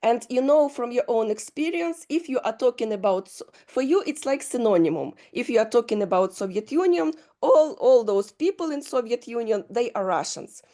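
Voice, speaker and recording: A woman with a Ukrainian accent speaks as a guest lecturer. Her voice is dark and mellow, and her English comes slowly, broken up by fillers and restarts. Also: fairly high pitch, expressive. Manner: halting, many fillers and restarts. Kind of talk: lecture answering questions.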